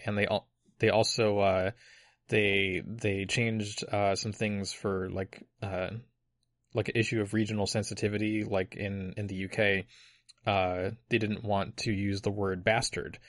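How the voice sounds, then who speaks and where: A man with a fairly low voice, recorded dry and close with no room echo. He explains things in detail, filling pauses with uhs and likes, in a casual podcast chat. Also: little pitch movement. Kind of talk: casual chat about a video game.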